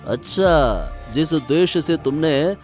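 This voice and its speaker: Man acting a high-voiced, wary cartoon husband. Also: expressive, slow, a little mellow, soft background music. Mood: conspiring. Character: wary, compliant husband in a scheming couple. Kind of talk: Hindi cartoon story voice acting.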